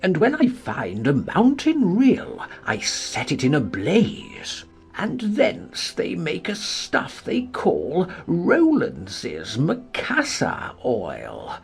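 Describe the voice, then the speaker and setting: An actor playing a mild, very old, poor peddler who recites verse in a creaky voice. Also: male voice, on the high side, huge pitch swings, extremely slow, full character voice. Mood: mild, plaintive.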